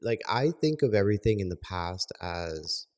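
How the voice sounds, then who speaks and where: A young man co-hosting a podcast in casual chat, calmly explaining his view in a measured way. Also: low voice. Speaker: podcast co-host.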